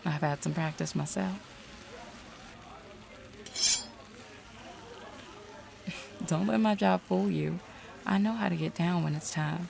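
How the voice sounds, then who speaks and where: A woman's voice: on the low side, normal speed, vocal fry, darkish tone, rough recording. A woman playing a flirtatious, self-assured detective and seer in an audio roleplay, playful and sly as she teases. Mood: flirty, playful. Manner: playful, sly, drawling endearments. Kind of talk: audio roleplay drama acting.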